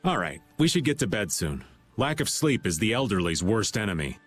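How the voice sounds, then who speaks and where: A man's voice: lowish voice, very animated, a little fast, soft background music. A man voicing a playful, world-weary older man in a video game dub, with a teasing, theatrical, laid-back manner. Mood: wry, tired.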